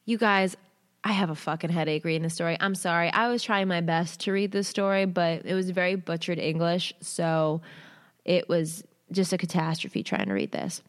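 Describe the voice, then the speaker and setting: Woman host reading a strange story. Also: on the low side. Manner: candid, uses swearing and slang; reads haltingly in short phrases. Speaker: host reading listener stories. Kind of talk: reading a submitted story aloud, then casual commentary.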